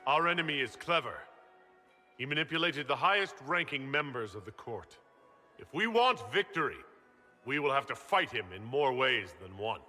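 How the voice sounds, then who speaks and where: An actor playing a resolute, courteous heroic leader in a video game, speaking in a measured, earnest way. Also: male voice, mid-range voice, animated, sharp tone, faint music behind. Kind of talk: video game cutscene acting.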